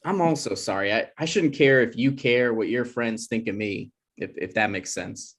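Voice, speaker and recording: A man's voice: medium pitch, normal speed, lightly acted. A man playing an awkward, defensive young grad student with hesitant, rambling delivery in a comedy table read. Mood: earnest.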